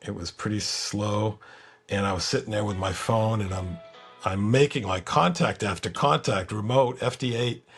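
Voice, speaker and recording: A man's voice: fairly low pitch, moderate pace, fairly bright tone, soft background music. A man speaking as a podcast guest in casual, laid-back and informal chat. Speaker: guest, software developer.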